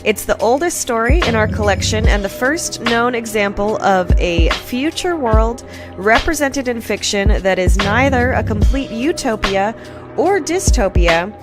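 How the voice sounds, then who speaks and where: A woman's voice: on the high side, animated, measured pace. A woman hosting a podcast, giving a scripted introduction with a mid-range voice. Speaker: podcast host. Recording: loud music behind the voice. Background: loud music.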